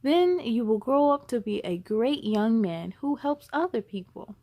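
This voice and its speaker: Storybook grandmother acted by a woman, doting, gentle, soft, reassuring, expressive intonation. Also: on the high side, measured pace, no echo. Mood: encouraging.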